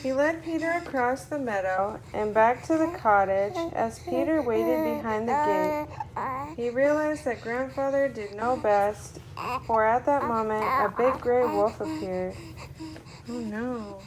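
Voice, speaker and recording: A woman reading a children's story aloud to a small child in an expressive way, putting on voices for the characters. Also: on the high side, measured pace, a crowd in the background, low-quality recording.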